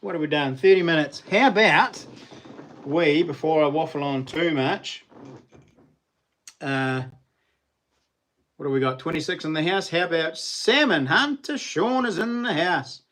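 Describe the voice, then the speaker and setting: A middle-aged man with a New Zealand accent, talking casually to his viewers on a livestream. His voice sits a little high for a man. He rambles, laughs often and drops in plenty of slang. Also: measured pace, long pauses, fairly bright tone. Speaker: livestream host.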